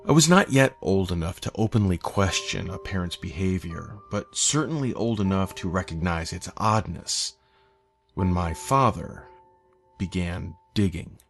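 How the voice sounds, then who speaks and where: Low-voiced man narrating horror over music. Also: expressive, unhurried. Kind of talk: scripted first-person horror narration. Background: eerie background music.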